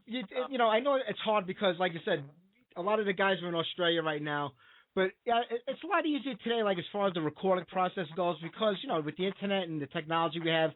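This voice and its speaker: A man hosting a radio interview, eager and chatty, stacking up fillers. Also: sits high. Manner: eager, fast talker with many fillers. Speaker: radio show host. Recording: tinny phone line.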